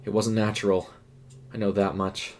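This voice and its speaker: A man voicing a spooked, hesitant young fast-food worker in a horror story, stammering. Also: fairly low pitch, flat delivery, slight background noise, close to an everyday voice. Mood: shaken.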